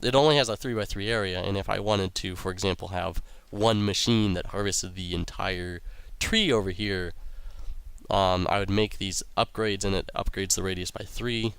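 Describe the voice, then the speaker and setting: A young man hosting a game playthrough, talking casually with a raspy, sick-sounding voice and rambling with lots of ums and uhs. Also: low-pitched, steady tone, moderate pace, a bit bright, dry recording. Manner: rambling, many ums and uhs, reads quest text aloud. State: raspy voice from illness. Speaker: let's play host.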